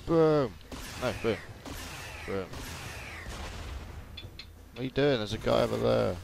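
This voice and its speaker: A man's voice: medium pitch, takes it slowly, creaky, a little mellow. A man giving casual, playful let's-play commentary, chatty and full of exclaimed sound effects. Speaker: gaming video host. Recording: game music behind, doubled game audio. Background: video game music and sounds.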